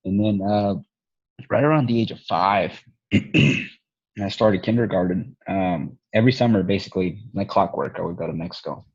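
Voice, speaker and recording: A man from Nebraska co-hosts a podcast in a husky, low voice. He talks in an easygoing way, dropping the odd swear word. The sound is dry and muffled, with no room around it. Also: normal speed, no room sound. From Nebraska, United States. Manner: laid-back and easygoing, with fillers and casual swearing. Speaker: podcast co-host. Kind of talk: casual conversation.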